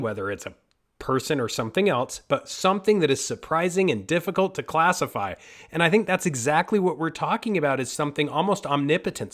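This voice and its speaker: A man's voice: a little high, a bit bright. A man from Costa Rica whose first language is Spanish, hosting an interview in a thoughtful, conversational way. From born in Costa Rica, moved to the United States. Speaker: interview host.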